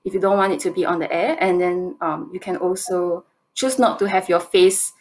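Young female contestant, Singaporean accent. Slightly low voice, hesitant, thinking through answers over a video call. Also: fairly even intonation, moderate pace, a little mellow. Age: 20s. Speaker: competition presenter answering judges' questions. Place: indoor room, on a video call.

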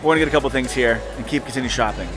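A man's voice: mid-range voice, racing. Man, 40s, vlog host, self-filmed, upbeat travel chatter over background music. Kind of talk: casual travel vlog narration. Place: outdoors at a market and indoors in shops. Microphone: handheld camera at arm's length.

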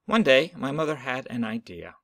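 Man calmly narrating a picture book. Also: mid-range voice, normal speed, no echo, studio quality. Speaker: reader of a children's book. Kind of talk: reading a picture book aloud.

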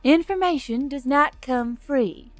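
Brief: a woman playing a sardonic young adventurer, higher and brighter than the narration, dry quips. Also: a little slow, no room sound, soft background music. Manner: sour, sarcastic, bright and raised.

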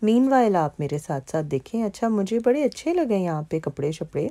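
A woman's voice: mid-range voice, sing-song, moderate pace, warm tone. A woman from Pakistan narrating her vlog in a casual, chatty way, with frequent fillers. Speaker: vlogger. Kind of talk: casual narration over mall footage.

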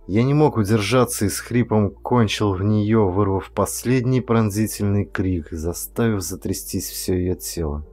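A man's voice: fairly low pitch, unhurried, hardly any pauses, darkish tone, slightly rough. Male audiobook narrator over music. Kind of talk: scripted first-person dramatic narration. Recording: music under the voice.

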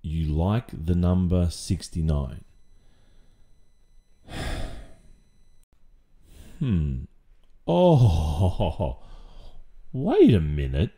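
A man with an Australian accent playing a strict, sarcastic, exasperated maths teacher in an ASMR roleplay, speaking deliberately with pointed pauses. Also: extremely low pitch, dark tone, exaggerated voice. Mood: annoyed, scolding.

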